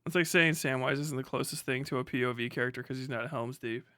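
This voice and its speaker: A man's voice: medium pitch, quick pace, fairly bright tone, no room sound, clean studio sound. A man livestreaming in a casual, comic style, riffing animatedly with plenty of slang and swearing. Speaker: live streamer.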